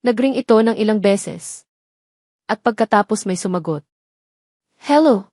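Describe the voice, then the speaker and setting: A woman narrates a dramatic audio story in a medium-pitched voice. She reads in a measured way, setting short phrases apart with pauses. The sound is dry and free of room echo. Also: darkish tone.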